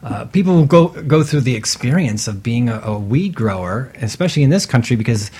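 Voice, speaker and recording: Male radio guest with a slightly husky voice, relaxed and rambling, lots of "uh" fillers. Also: mid-range voice, fairly quick. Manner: loose and rambling, many fillers. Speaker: guest, board game creator. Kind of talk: casual radio interview.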